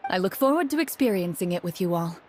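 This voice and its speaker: A woman voicing a composed, earnest young female duelist in a video game, formal, measured and reserved. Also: fairly low pitch, animated, darkish tone, soft background music, natural voice. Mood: calm.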